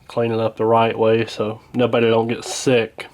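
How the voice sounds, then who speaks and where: A man's voice: mid-range voice, monotone, moderate pace, a little mellow. Folksy man, hesitant delivery. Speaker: radio repair hobbyist, presenter. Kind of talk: casual hands-on repair commentary.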